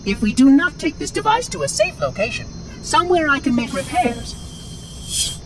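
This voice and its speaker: Game machine: prim AI caretaker, precise, high lilting voice under loud rumble. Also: male voice, huge pitch swings, moderate pace, full character voice. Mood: urgent, formal. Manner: formal, precise wording. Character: prim, hurried robotic artificial intelligence caretaker. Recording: loud rumbling booms behind.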